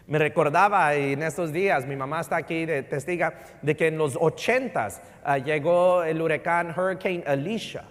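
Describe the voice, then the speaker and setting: A middle-aged pastor from Houston preaching a sermon, animated, with a Texan Spanish accent and English words mixed in. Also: male voice, fairly high pitch. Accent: Texan Spanish with English code-switching. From Houston, Texas. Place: church sanctuary. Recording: church sanctuary reverb.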